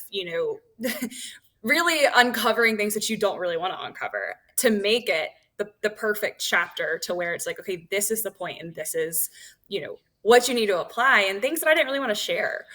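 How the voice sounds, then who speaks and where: A woman's voice: mid-range voice, pushed and bright. A woman in her thirties, a podcast guest and author, talking candidly and enthusiastically with lots of fillers. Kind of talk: casual interview conversation.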